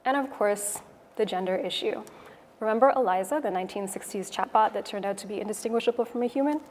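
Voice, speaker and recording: A Canadian woman delivers a prepared conference talk, explaining things in a measured way. Her mid-pitched voice rings through a reverberant hall, with some background noise around it. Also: darkish tone. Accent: Canadian English. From Toronto, Canada. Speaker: conference speaker, web developer. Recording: echoey hall, noisy room ambience.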